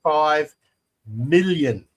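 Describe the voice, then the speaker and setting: A man's voice: fairly high pitch, animated, extremely slow. A man co-hosting a live chat show, speaking emphatically and repeating key numbers to drive them home. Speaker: co-host. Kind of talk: casual chat.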